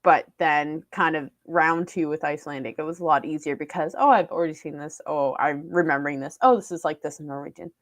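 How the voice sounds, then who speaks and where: A woman's voice: low-pitched, brisk, hardly any pauses, dry recording, clean studio sound. A young woman vlogging casually about her language learning, rambling with lots of fillers and restarts. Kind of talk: casual vlog chat. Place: small room indoors. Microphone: desk mic on boom arm.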